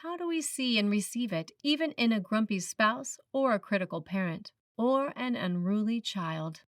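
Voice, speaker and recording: A woman preacher reading a written sermon in a measured, reflective manner, putting questions to the listener. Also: mid-range voice, animated, dry recording, clean studio sound, recorded from a distance. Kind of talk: sermon, read from a script.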